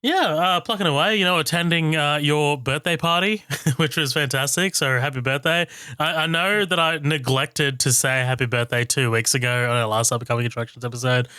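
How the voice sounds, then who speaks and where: A man's voice: fairly high pitch, fairly even intonation, fairly quick, pushed and bright, clean tone, no echo, clean studio sound. A man co-hosting a casual podcast chat, laid-back and swearing freely. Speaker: podcast co-host.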